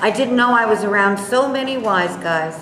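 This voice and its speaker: A man's voice: sits high, expressive, moderate pace, pushed and bright. Man acting a brash hothead in an echoey hall. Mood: combative. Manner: blustery, confrontational. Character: brash, combative Trump-supporting man, quick to take offence. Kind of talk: staged reading of a play. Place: indoor stage reading, live room. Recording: echoey hall, faint background noise.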